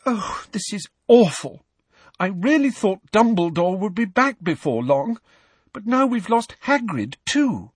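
A man voicing an earnest, emotional teenage schoolgirl witch in an audiobook, speaking with breathy emphasis. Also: high-pitched, big pitch swings, measured pace, dry recording, pitched above the performer's own voice, full character voice. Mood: distressed.

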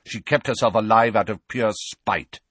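A man's voice: fairly low pitch, very animated, moderate pace, a bit bright, no room sound. A man voicing a cold, impatient master of the house in a storybook, snapping curtly. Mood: cold, scornful.

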